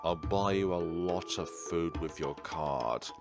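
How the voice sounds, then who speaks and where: Low-voiced man narrating over game music. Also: slow. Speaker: gaming YouTuber. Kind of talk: let's play commentary, reading visual novel text aloud. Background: video game music.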